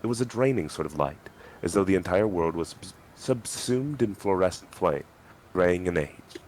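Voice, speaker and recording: A man's voice: sits low, lively intonation, moderate pace, a little mellow, a little hiss or hum. A man reading scripted story narration in a dramatic style. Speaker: reader in a group dramatic reading.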